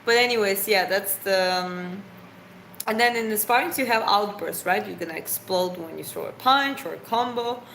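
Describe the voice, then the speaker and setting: A woman's voice: medium pitch, moderate pace, vocal fry, fairly bright tone, reverberant, slight background noise, rough recording. A woman co-hosting a live stream Q&A, chatty and explaining with loose, slightly unusual phrasing. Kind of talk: casual Q&A chat.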